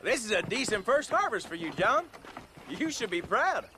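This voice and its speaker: An actor playing a polite older rancher of the Old West in a video game, with an American accent and a folksy, approving manner. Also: male voice, high-pitched, big pitch swings, moderate pace, background noise. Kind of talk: video game cutscene acting.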